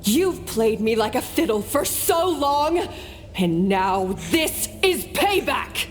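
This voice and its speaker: A bitter, despairing young woman in a game drama. She speaks slowly and melodramatically, with an anguished edge and faint music underneath. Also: a little high, big pitch swings. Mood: bitter, vengeful. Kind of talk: video game voice acting.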